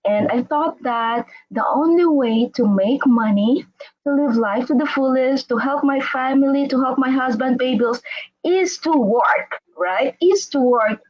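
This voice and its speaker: A woman chatting casually and excitedly as the host of a live online interview, over a video call. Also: sits high, a little slow, lo-fi sound. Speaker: host interviewing a guest on a livestream.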